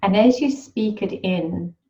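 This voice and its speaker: A woman leading a guided meditation in a gentle, soothing, unhurried way. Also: medium pitch, muffled recording. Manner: slow, soothing and unhurried, with long pauses.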